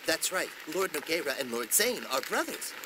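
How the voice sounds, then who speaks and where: An actor playing an eager, bossy young male scholar in a video game, brisk and self-assured. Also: a little high, sing-song, noisy background. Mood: earnest.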